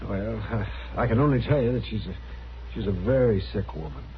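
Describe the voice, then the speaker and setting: An actor playing a crusty, protective American frontier doctor in an old radio western, with a testy, wry manner. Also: male voice, fairly low pitch, fairly quick, natural voice. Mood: protective, firm. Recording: tinny, hissy old radio recording.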